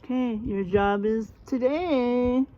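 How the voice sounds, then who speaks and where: A woman reading a picture book aloud like a teacher, expressive and dramatic, pausing to put questions to young listeners. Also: a little high, muffled recording. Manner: animated, dramatic delivery with drawn-out words.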